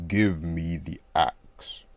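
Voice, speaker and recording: A hulking, brutal giant of a convict, dropped very low and dragged out slow, as a woman reading a storybook plays him. Each word lands heavy and menacing. The sound is dry and close. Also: fairly even intonation, a little mellow, no echo, heavily acted.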